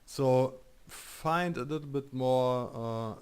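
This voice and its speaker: A man with a German accent plays through a game on stream and talks over it in a joking way. He reads the options out loud and mulls them over in loose, chatty English. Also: mid-range voice, slow pace, clean tone. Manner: joking, reads choices aloud, thinks out loud. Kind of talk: casual let's-play commentary.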